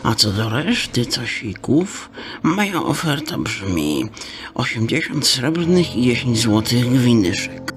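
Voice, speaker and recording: An actor playing a sly, haggling fence shopkeeper who talks in folksy, mangled slang. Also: male voice, medium pitch, big pitch swings, takes it slowly, faint music behind. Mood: scheming. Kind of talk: radio drama acting.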